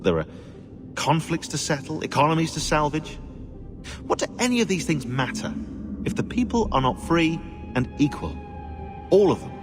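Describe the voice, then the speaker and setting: A cynical British historian from a video game. His delivery is articulate and bitingly dry, with a highly animated pitch that rises and falls as he argues. Music plays clearly behind him. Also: moderate pace, pauses often. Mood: indignant. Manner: sardonic, articulate, indignant. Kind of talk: acted video game dialogue.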